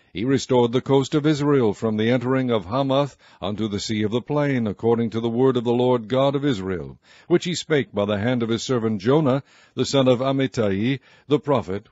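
A man reading scripture aloud in a measured, formal way. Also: mid-range voice, darkish tone, no echo, muffled recording. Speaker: Bible reader.

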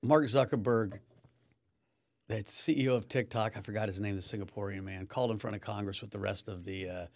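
A man co-hosting a casual podcast who jumps in with quick interjections and often restarts his sentences. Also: fairly low pitch, steady tone, dry recording, clean studio sound. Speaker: podcast co-host.

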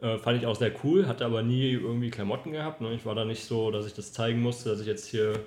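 A man from Berlin talks as a guest in a casual conversation. His pitch is average and his storytelling is informal. The recording has a clear room echo. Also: fairly even intonation, fast, a little mellow. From Berlin, Germany. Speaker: podcast guest, industrial designer. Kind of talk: casual chat, personal storytelling. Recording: roomy, echoing room.

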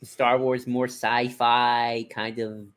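A man in a casual podcast discussion, talking in a halting, rambling way and often circling back to rephrase. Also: mid-range voice, level tone, fairly bright tone. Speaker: podcast co-host.

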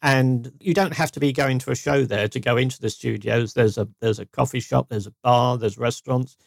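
A man, co-hosting a podcast in relaxed, chatty talk with frequent restarts. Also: mid-range voice, a little fast, gravelly, dry recording, studio quality. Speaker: podcast co-host. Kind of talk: casual chat.

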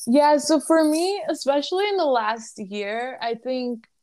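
A woman's voice: sits high, measured pace, darkish tone. A woman in her twenties with a Lebanese accent, a podcast guest chatting casually and laughing between phrases. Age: 20s. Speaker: guest, content creator. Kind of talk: casual conversational interview.

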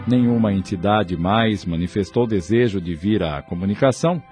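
A man's voice: on the low side, moderate pace, music in the background. A man narrating a scripted radio drama in a solemn, formal manner.

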